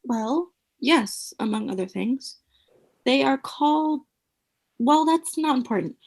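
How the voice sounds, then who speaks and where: An actress playing a bossy, ominous sitcom roommate with theatrical, emphatic delivery in a comedic radio play. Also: female voice, high voice, expressive, takes it slowly, creaky, slightly rough, heavily acted. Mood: ominous, knowing.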